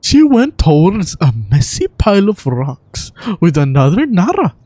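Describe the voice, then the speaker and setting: A woman's voice: on the low side, sing-song, unhurried, mellow, no echo. A woman voicing a timid, naive, childlike forest spirit in a video game. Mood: naive, friendly. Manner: speaks of self in third person, naive.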